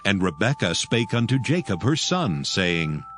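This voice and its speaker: Low-voiced man narrating scripture over music. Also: big pitch swings, unhurried, fairly bright tone.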